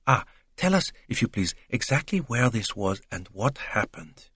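A man doing a gently probing courteous detective. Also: mid-range voice, very animated, normal speed, slightly rough, dry recording, pitched above the performer's own voice, full character voice. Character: calm, courteous middle-aged male detective.

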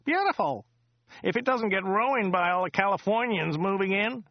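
A man voicing a chatty, excitable driver who rambles, repeats himself and laughs at his own jokes. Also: normal speed, no echo, lo-fi sound. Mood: rambling chatter. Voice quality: high, loud and excitable. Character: chatty loud male American taxi driver. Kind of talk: audiobook character voice.

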